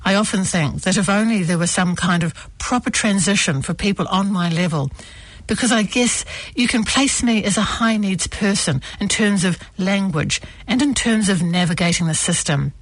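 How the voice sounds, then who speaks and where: A woman broadcaster reading a first-person account aloud in a measured, earnest way. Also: mid-range voice, husky, no echo.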